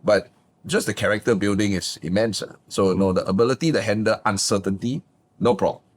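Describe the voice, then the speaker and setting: A man, a guest business coach telling his backstory in a lively, emphatic way. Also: a little fast, husky. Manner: animated, emphatic, swings pitch up for punchlines. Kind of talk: casual interview storytelling.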